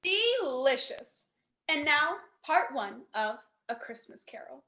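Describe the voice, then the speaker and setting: A woman's voice: high voice, very animated, slow pace, reverberant, background noise. A woman announcing programmes and sponsors in the style of an old-time radio show.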